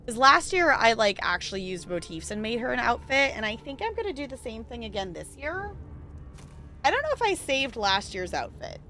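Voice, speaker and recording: Woman streamer, twenties, slightly high and very bright voice, chatty and rambling. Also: very animated, normal speed. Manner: chatty, rambling, laughs often. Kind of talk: casual gameplay commentary.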